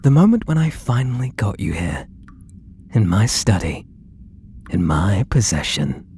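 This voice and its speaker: A British man voicing an obsessed, possessive artist and menacingly tender lover in a breathy, theatrical ASMR roleplay, drifting into whispers. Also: fairly low pitch, big pitch swings, a little slow, a little mellow, slight background noise. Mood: possessive, intimate. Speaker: audio roleplay voice actor.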